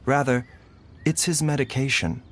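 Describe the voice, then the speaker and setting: A man playing a calm, weary, learned doctor in a video game, speaking in a measured, formal and gentle way. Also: medium pitch, natural voice. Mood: grave, explanatory.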